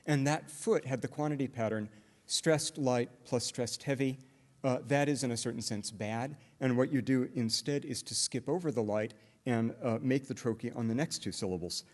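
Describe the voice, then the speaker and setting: A middle-aged man lecturing at a conference, explaining step by step and often pausing on "uh" fillers and restarts. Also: medium pitch, darkish tone. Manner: hesitant, many "uh" fillers and restarts; explanatory, walks through examples step by step. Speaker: linguistics lecturer. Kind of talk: academic conference talk.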